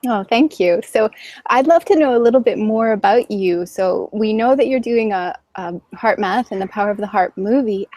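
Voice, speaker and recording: A woman's voice: medium pitch, vocal fry, a little mellow, no echo. A woman hosting an interview, greeting her guest in an effusive, enthusiastic way. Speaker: interview host.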